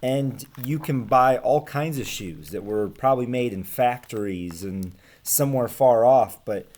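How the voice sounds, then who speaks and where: An American man in his thirties teaching casually to camera, explaining things in plain, simple words with pauses. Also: mid-range voice, darkish tone. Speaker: teacher reading aloud to students. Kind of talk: casual read-aloud lesson to camera. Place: small room at home with bookshelves. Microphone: webcam or laptop microphone at desk distance.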